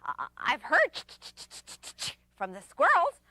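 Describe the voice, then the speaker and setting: A woman voicing an excitable, bossy young chipmunk who stammers out sound effects and exclaims in a shrill voice. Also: slow pace, no room sound, studio quality, heavily acted. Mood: excited.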